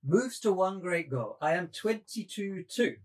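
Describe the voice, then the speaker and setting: A woman doing a broody young guy in a play, raspy, talks in riddles. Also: lowish voice, expressive, measured pace, darkish tone. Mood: brooding. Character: moody, cryptic young man of twenty-two. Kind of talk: dramatised novel table reading.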